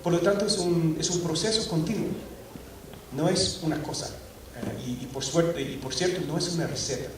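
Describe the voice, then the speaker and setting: Man, halting with fillers, conference talk, very echoey hall, distant mic. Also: a little high, noisy background. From Scotland. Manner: hesitant, many fillers and restarts. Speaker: conference speaker. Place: large auditorium. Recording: echoey hall recorded from afar.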